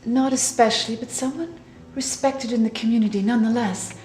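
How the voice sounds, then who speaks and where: A woman's voice: a little high, fairly even intonation, a little fast, darkish tone, roomy sound, recorded from a distance, slight background noise, lightly acted. An actress playing an affectionate but frightened courtesan in an audio drama, guarding what troubles her. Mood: haunted, confiding. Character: Evelyn, troubled, affectionate prostitute hiding her fear.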